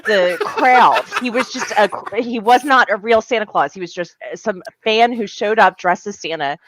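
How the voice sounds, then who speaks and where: A woman's voice: medium pitch, moderate pace, noisy background. A woman from Philadelphia chatting casually as a livestream guest, with a playfully argumentative, sweary way of talking. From Philadelphia, United States. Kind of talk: casual chat.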